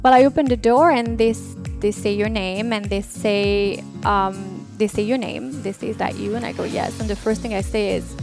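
A woman's voice: mid-range voice, fairly even intonation, normal speed, hardly any pauses. A woman telling a personal story casually as a podcast guest. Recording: music under the voice. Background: music.

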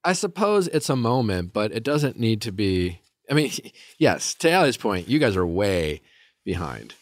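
A man's voice: fairly low pitch, very animated, unhurried, dry recording, clean studio sound. A man hosting a podcast, giving direct advice in a casual, joking way. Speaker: podcast host.

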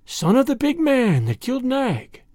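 Narrator acting a menacing female cobra, lively pitch. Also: dry recording, clean studio sound. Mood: menacing, cold. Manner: imperious, threatening, deliberate. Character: menacing, vengeful female cobra, hissing threats.

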